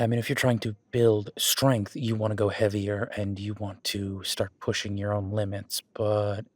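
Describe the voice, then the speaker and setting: A man acts out a friendly werewolf boyfriend in an audio roleplay, speaking in a fairly low voice. He coaches conversationally and patiently, explaining and encouraging as he goes. The sound is very dry, with no room echo. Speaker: gym training coach in roleplay.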